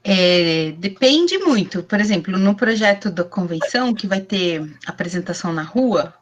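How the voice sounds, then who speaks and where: A woman's voice: medium pitch, animated, moderate pace, noisy background. Workshop teacher, woman in her forties, chatty explanatory tone, laptop webcam at home. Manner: chatty, explanatory, asks herself questions. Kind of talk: online class teaching. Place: small room at home. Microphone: laptop webcam on video call.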